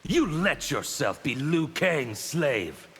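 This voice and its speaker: Gravelly, scarred revolutionary zealot for a fighting game, bright forceful edge, slow defiant delivery. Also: male voice, fairly high pitch, big pitch swings, slight background noise, full character voice. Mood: menacing. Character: bitter fanatical male revolutionary of chaos, scarred and menacing. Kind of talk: video game fight banter.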